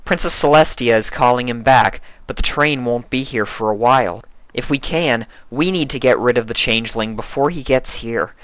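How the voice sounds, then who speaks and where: A take-charge young mare from a cartoon story, put on by a narrator. The delivery is measured and businesslike, pitched a little up, earnestly explaining and instructing in a dry recording. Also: fairly bright tone, dry recording, natural voice, poor audio.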